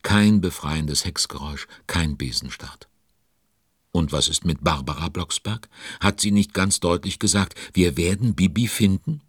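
A man's voice: unhurried, dry recording, clean studio sound. A man narrating a children's audio drama as an avuncular, fretting storyteller, full of exclamations and questions to young listeners. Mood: concerned. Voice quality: very deep, husky.

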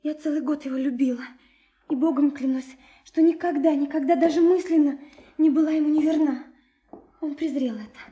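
Actress as high-voiced, impulsive young woman in love. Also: steady tone, warm tone, close to an everyday voice. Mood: hurt, confessing. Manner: breathless, emotional, halting, repeating words. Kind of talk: Russian radio play acting.

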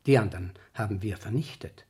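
An actor playing a deferential, somewhat flustered photo studio boss in a radio play. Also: male voice, fairly low pitch, brisk, warm tone, lightly acted. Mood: brisk, defensive. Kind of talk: 1970s German radio play acting.

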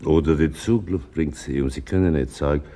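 Very deep, gravelly, sardonic older man. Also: normal speed, warm tone. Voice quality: gravelly, husky. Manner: wry, dry, theatrical. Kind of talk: radio play acting.

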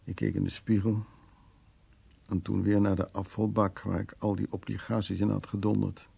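A man playing Louis, who recounts his troubled past in a Dutch radio play, halting and acting out the remarks of others. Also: fairly low pitch, little pitch movement, creaky, mellow, slightly rough, exaggerated voice. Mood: brooding, anguished. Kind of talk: Dutch radio play, intimate dramatic monologue and dialogue.

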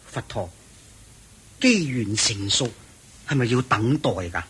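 An actor playing an eager, respectful young disciple monk who asks deferential questions in Hong Kong Cantonese, in radio drama style. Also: male voice, medium pitch, lively intonation, slow pace, a bit bright. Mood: eager, curious. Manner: deferential, inquisitive. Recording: faint background noise.

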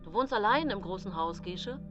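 A woman's voice: mid-range voice, animated, moderate pace, natural voice. A woman playing a hearty soldier back from war in a radio drama, breezy and matter-of-fact. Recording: dull sound with music behind.

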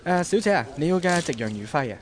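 An actor playing an apologetic, flustered sushi restaurant waiter in a radio drama. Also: male voice, a little high, expressive, fast talker, noisy background, lightly acted. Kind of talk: Cantonese radio drama acting. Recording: restaurant bustle behind the voice.

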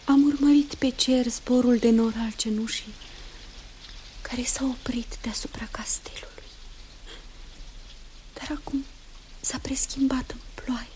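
An actress playing a tender young enchantress, a sorcerer's daughter, in 1960s radio theatre, with breathy, dramatic delivery. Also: female voice, a little high, fairly even intonation, pauses often, warm tone, lightly acted. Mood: tender, hushed. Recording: faintly noisy 1960s radio recording.

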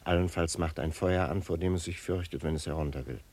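A military-minded prince in a radio drama. He has a low voice and gives orders in a measured, authoritative manner. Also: fairly even intonation, a little mellow, husky. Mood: grave, instructing. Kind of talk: German radio play acting.

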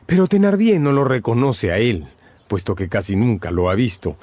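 A man's voice: mid-range voice, animated, moderate pace, a little mellow, no room sound. A man narrating a scripted radio drama as a dramatic storyteller. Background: prominent music.

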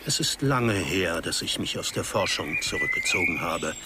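A reclusive older scientist in a radio play, theatrical and halting. His pitch swings widely as he muses on eerie theories. Loud outdoor noise with birds surrounds him. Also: lively intonation. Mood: weary, guarded. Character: withdrawn older male researcher expounding eerie parallel-world theories. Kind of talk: radio drama acting.

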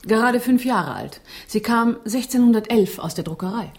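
An actress in a radio drama playing a composed, proud gentlewoman hostess with a formal, poised manner. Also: on the high side, expressive, normal speed.

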